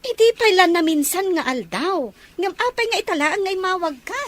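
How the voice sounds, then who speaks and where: A woman playing a concerned, practical older relative in an Ilocano audio drama, with a husky voice. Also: very high-pitched, lively intonation, fairly quick, a bit bright, a little hiss or hum. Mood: startled, anxious.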